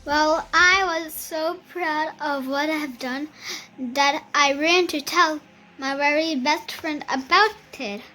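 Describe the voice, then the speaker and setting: A child reading a picture book aloud in a careful, halting beginner's way, with music behind. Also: sits high, bright, forceful tone, a little hiss or hum, poor audio.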